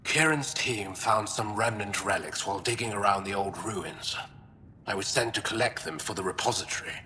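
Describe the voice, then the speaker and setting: Bright, edgy, irritable alien historian. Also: male voice, moderate pace. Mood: impatient. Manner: sardonic, huffy. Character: irritable, sarcastic male alien historian, impatient and suspicious. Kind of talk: video game dramatic acting. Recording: long reverb, faint rumbling noise.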